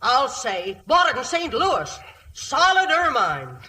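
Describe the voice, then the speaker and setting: A brash nouveau riche American mother in a vintage radio comedy. The voice is very sharp and swings up and down, bossy and gushing in a folksy way. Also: sits high, wildly swooping pitch, takes it slowly, full character voice. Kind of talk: 1940s-1950s radio comedy acting.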